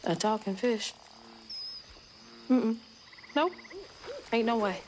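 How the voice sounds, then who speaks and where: A woman's voice: on the high side, slow, background noise. A woman playing a headstrong, sceptical young woman in a video game, blunt and incredulous, with a Southern US accent. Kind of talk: video game character acting. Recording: music behind the voice.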